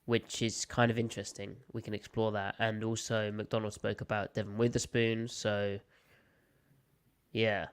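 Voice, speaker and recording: A man's voice: lowish voice, little pitch movement, moderate pace, clean tone. A young British man hosting a live sports podcast, chatting in a playful, opinionated way, a little snuffly from a slight cold. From United Kingdom. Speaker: podcast host. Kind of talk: casual live podcast chat.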